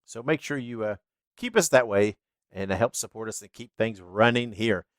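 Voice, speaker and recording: A man's voice: fairly low pitch, moderate pace, no echo, clean studio sound. Stuffy-voiced man hosting a podcast. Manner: chatty, laughs, fillers. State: stuffy, congested. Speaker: podcast host. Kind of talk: casual podcast outro.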